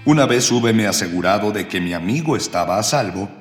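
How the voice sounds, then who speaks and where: An echoing, reverberant voice of a mock-heroic storyteller, played by a man, narrates a radio serial in florid, solemn phrases. His mid-pitched delivery rides over music. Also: expressive, brisk, lightly acted. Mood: grandiose. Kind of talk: scripted radio drama narration. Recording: echoey reverberant sound with music underneath.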